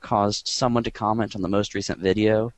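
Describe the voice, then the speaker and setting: A man hosting a podcast in casual chat, with a quiet, monotone voice and a rambling, dry way of talking. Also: lowish voice, brisk, dry recording. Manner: rambling and dry, filler-heavy. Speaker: podcast host.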